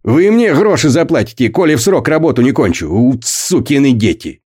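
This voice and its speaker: A man's voice: mid-range voice, big pitch swings, normal speed, a little mellow, breathy edge, pitched above the performer's own voice. A man voicing a coarse, brutal middle-aged foreman who bullies and barks at boys, read as part of an audiobook. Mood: bullying. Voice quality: coarse, bullying, barking. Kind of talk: audiobook character voice.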